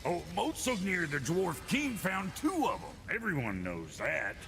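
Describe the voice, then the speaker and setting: Give the floor to a crude, grumbling dwarf smith from a fantasy game. His voice is gravelly and hard-edged, swinging all over the place. He sounds blunt and sarcastic, with music playing underneath. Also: high voice, wildly swooping pitch, measured pace, fairly bright tone, heavily acted. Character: gruff, crude, foul-mouthed dwarf blacksmith. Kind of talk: video game character acting.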